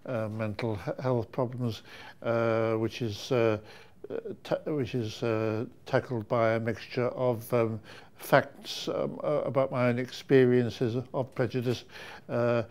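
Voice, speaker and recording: A man's voice: mid-range voice, flat delivery, darkish tone. A man giving an informal testimonial as an author, very hesitant and often stumbling over his words. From Nottingham, England. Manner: halting, heavily hesitant, stammering over words. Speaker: seminar attendee, author.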